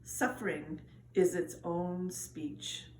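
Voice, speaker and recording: A woman's voice: lowish voice, fairly even intonation, slow. A woman reading a poem solemnly, heard from a distance in an echoey, faintly noisy recording. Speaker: poetry reader. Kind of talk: solemn spoken poetry reading.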